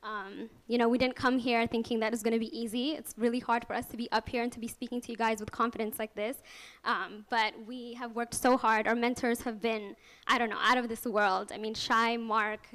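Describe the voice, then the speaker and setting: A young woman from Ethiopia pitches her student startup to a live audience. Her voice sits somewhat high, and she sounds nervous but earnest, laughing often and leaning on fillers. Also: level tone, fairly quick, a bit bright. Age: young adult. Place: venture lab event room.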